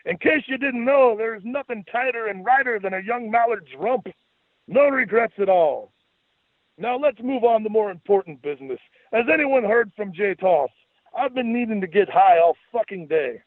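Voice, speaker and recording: A man's voice: sits high, expressive, moderate pace, dry recording, pitched above the performer's own voice. A man voicing a wild-eyed, crude drunken man in a put-on goofy Scottish accent, bellowing and taunting. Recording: tinny phone-like radio sound.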